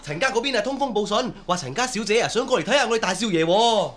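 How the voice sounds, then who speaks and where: High, piercing, eager servant. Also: male voice, expressive, fast talker, fairly bright tone. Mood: excited. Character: excitable, eager household servant bringing news. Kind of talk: broadcast drama acting.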